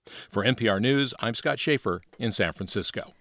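A male radio reporter delivers a news report in a steady reporting tone. His voice sits at a middle pitch. The sound is close and dry. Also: moderate pace, no echo.

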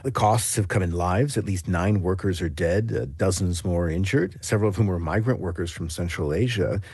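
A man's voice: low-pitched, moderate pace, darkish tone, breathy edge, no room sound. A man with an American accent giving an explanatory radio news report as a foreign correspondent, in a conversational way.

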